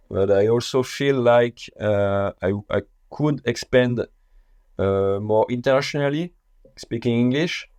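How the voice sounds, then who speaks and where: Slow, halting man with a French accent. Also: lowish voice, a little mellow, clear, resonant tone, no echo. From France. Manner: halting, searching for words in English. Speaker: podcast guest. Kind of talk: casual interview talk.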